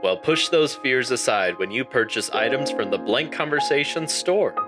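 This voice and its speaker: A man's voice: medium pitch, lively intonation, normal speed. A male announcer giving a playful, mock-salesman promo for a theater company before announcing the play. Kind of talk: comic promo and show announcement. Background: guitar music.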